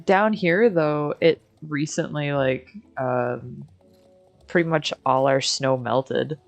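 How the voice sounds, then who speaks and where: A woman's voice: sits low, slow, vocal fry, soft background music. An American woman chatting casually as she hosts a game livestream, with a giggly, slangy, informal manner. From Michigan, United States. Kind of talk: casual livestream chat while gaming.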